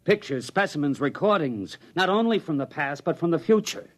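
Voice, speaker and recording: An actor playing a pleading, excitable friend in 1950s radio drama style, with an American accent. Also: male voice, a little high, moderate pace, lightly acted.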